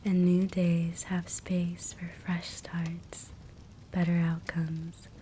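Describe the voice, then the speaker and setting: A woman guiding a sleep hypnosis meditation in a soft, breathy whisper, gentle and soothing. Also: lowish voice, takes it slowly. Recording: soft steady fireplace crackle noise. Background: fireplace ambience.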